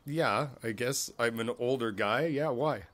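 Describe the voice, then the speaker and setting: A man playing an easygoing, friendly older neighbor in a conversational ASMR roleplay, speaking thoughtfully with hesitant pauses. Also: medium pitch, a bit bright, natural voice. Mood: thoughtful, gentle. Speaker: voice actor in an audio roleplay. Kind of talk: ASMR roleplay, one side of a casual conversation. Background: outdoor ambience with birds.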